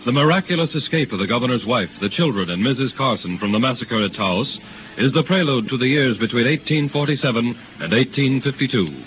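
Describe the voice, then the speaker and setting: A man narrating frontier history formally and gravely in a scratchy 1930s radio drama. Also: medium pitch, animated, a little fast, phone-quality sound, music in the background, natural voice.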